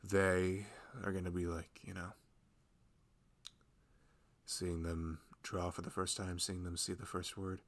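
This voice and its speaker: Give this one a very low male voice with a gravelly fry , a man acting the part. He is a devoted but nervous young husband in an intimate ASMR roleplay. He rambles softly, like someone talking privately to his partner. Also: little pitch movement, takes it slowly, pauses often. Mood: playful. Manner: rambling, soft-spoken, chuckling, often drifting toward a murmur.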